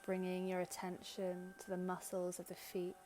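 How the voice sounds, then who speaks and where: A woman leading a guided meditation in gentle, soothing, unhurried short phrases with long pauses. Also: lowish voice, completely flat delivery. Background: background music.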